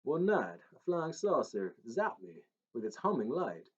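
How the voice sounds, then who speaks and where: A man's voice: mid-range voice, very animated, a little slow, breathy edge. A man voicing a paunchy middle-aged driver who claims to be Elvis, crooning with a put-on Southern twang. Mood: conspiratorial. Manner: crooning, swaggering, conspiratorial. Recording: slightly dull recording.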